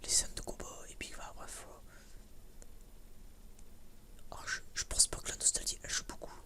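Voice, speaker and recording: A woman chatting casually as an ASMR creator, in a rambling, halting way that often repeats words and phrases. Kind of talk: whispered ASMR casual chat.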